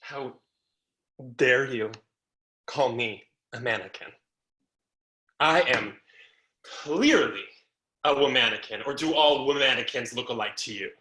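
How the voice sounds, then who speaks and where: A man's voice: mid-range voice, measured pace, pauses often, pushed and bright, rough recording. A man playing a vain, sassy talking mannequin in a staged play reading, with campy, theatrical indignation. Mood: indignant. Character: sassy, vain talking mannequin who calls itself a womannequin.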